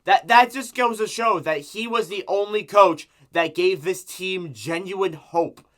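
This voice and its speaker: A man's voice: high-pitched, measured pace, fairly bright tone. A man with a sore throat from strep giving an emphatic, exasperated, opinionated sports rant as a fan making an online video. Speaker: hockey fan YouTuber.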